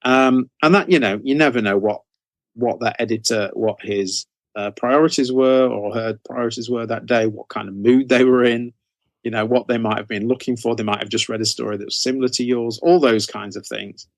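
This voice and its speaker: A man's voice: mid-range voice, steady tone, a little fast, no echo. A middle-aged man giving conversational interview answers as a guest author, rambling a little as he repeats and rephrases.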